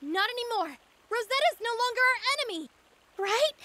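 A woman's voice: very high-pitched, lively intonation, moderate pace, pushed and bright, exaggerated voice. A woman voicing a cocky, impish young demon prince in a video game, brash and scrappy. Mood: urgent.

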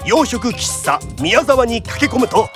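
An actor playing a lively storyteller, narrating a radio drama in theatrical, punchy traditional phrasing. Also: male voice, very high voice, a little slow, soft background music, exaggerated voice. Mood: brisk narration.

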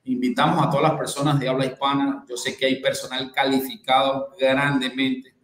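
A man in his thirties speaks earnestly as a guest on a video call, in a mid-pitched voice. He often restarts and repeats himself as he goes. The room around him is noticeably echoey. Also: level tone, fairly quick, fairly bright tone, slight background noise. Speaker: guest network specialist. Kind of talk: casual video-call interview. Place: bright indoor room.

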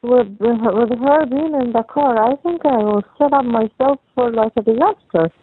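A young adult woman chatting casually on a phone call, talking in a halting, rambling, repetitive way. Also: on the high side. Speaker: caller talking with partner. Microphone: telephone call. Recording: tinny phone line with faint noise.

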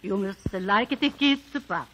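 A woman's voice: mid-range voice, a little slow, fairly bright tone, dull audio, close to an everyday voice. Anxious immigrant wife voiced by a woman, Italian accent, mid-range voice, hesitant English, old-time radio drama. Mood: worried.

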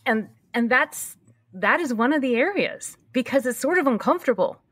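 A woman's voice: sits high, animated, normal speed, a bit bright, husky, dry recording. A woman in her early fifties speaking candidly and reflectively in a conversational interview, repeating phrases for emphasis. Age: early 50s. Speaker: podcast host being interviewed.

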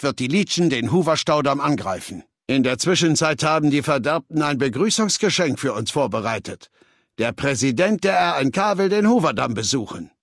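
Video game legion leader: ruthless, commanding male, gravelly, recorded very dry and close. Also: medium pitch, fairly bright tone, no echo. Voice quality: gravelly, husky. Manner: authoritative, deliberate, imperious. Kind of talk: dramatic video game voice acting, German dub. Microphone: close, dry studio recording.

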